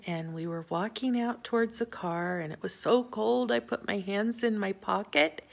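An older woman gently telling children a personal faith story indoors, in a homely storytelling way with small pauses. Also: mid-range voice, creaky. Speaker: Sunday school teacher.

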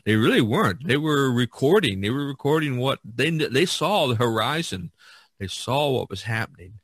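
A man hosting a podcast, chatting casually about his subject in a halting way, repeating words as he thinks aloud. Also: medium pitch, big pitch swings, fairly bright tone, breathy edge, dry recording, clean studio sound. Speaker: podcast host. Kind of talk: casual discussion of art and history.

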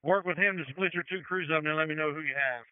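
A man's voice: on the high side, fast talker, clean tone, no room sound. A man speaking as a fire incident commander, giving terse, procedural orders over a two-way radio. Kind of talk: emergency radio dispatching. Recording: phone-like fire radio channel.

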